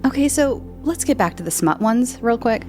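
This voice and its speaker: A woman's voice: on the high side, animated, moderate pace, a little mellow, faint music behind. A woman hosting a podcast, answering listener questions in a casual, rambling chat full of fillers and restarts. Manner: chatty, meandering, many fillers and restarts.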